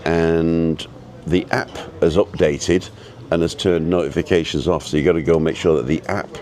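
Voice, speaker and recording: A man with an easygoing, chatty and halting way of talking, casually rambling as he hosts a live vlog. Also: low voice, steady tone, a little mellow, a little hiss or hum. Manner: easygoing, chatty, halting as he reads and answers viewer comments. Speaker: live-streaming vlogger and host. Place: outdoors at a restaurant overlooking the road and ocean.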